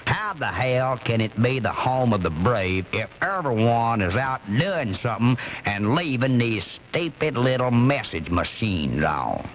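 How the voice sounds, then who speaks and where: A man playing an indignant blue-collar American right-wing crank, ranting with drawn-out emphasis in a comic radio monologue. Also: medium pitch, animated, slight background noise, lightly acted. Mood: exasperated, indignant. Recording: muffled off-air cassette, music behind.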